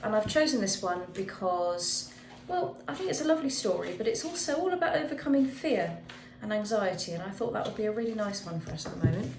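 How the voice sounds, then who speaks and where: A woman's voice: medium pitch, lively intonation, brisk, darkish tone, soft background music. A woman reading a children's picture book aloud expressively, with dramatic emphasis on key words. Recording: echoey room with faint background noise.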